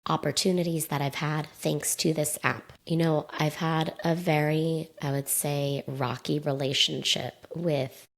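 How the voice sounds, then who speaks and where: A woman in her thirties hosting a casual solo podcast, talking candidly and conversationally, her voice slightly raspy and mildly hoarse from a sore throat. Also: sits low, fairly even intonation, measured pace, few pauses. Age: 30s. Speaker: podcast host.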